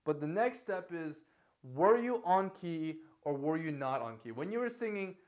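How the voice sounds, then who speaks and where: Chatty male vocal coach with a higher voice. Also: expressive, moderate pace, a little mellow. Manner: loose, repetitive talk with many fillers and "okay?" checks; sings short lines to demonstrate. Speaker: singing teacher. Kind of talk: casual vocal lesson.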